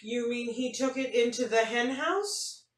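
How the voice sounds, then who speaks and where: A woman's voice: on the high side, a little slow, pitched above the performer's own voice, lightly acted. A woman voicing a curious, disbelieving mother who questions her child in a picture-book read-aloud. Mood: eagerly curious. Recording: roomy, faint noise, distant mic.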